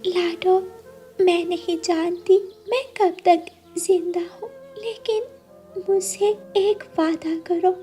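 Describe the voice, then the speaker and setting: A woman's voice: very high voice, mellow, voice pushed up. A woman voicing a sick, tender mother bird in a cartoon, speaking weakly and pleadingly. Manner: weak, slow, pleading delivery. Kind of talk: Hindi cartoon character acting.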